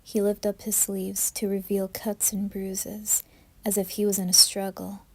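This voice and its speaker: A woman's voice: mid-range voice, completely flat delivery, measured pace, dark tone, husky. A woman reading scripted horror narration as a storyteller.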